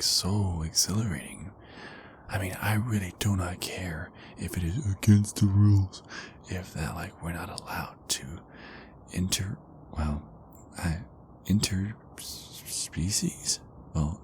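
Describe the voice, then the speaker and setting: A man playing an ardent, nervous werewolf boyfriend in an ASMR roleplay, halting and repeating himself as he pleads. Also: deep voice, vocal fry, darkish tone, a little hiss or hum.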